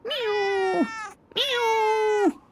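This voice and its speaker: A woman's voice: fairly high pitch, big pitch swings, slow, gravelly, background noise, pitched above the performer's own voice, full character voice. A woman voicing a hungry, vexed male cat who sighs and mews plaintively outside a shop. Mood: plaintive mewing. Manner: sighing, mewing plaintively.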